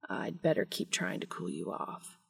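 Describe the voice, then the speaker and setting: A woman voicing a restrained, quietly seductive otherworldly male being in a hushed, deliberate mutter. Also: sits low, big pitch swings, vocal fry, husky, heavily acted. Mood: restrained desire.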